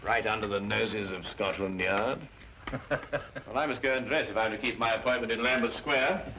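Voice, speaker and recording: An actor playing a shrewd gentleman detective, languid and drily amused, in an old-time radio drama. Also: on the low side, normal speed. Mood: wryly assured. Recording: muffled, noisy old radio recording.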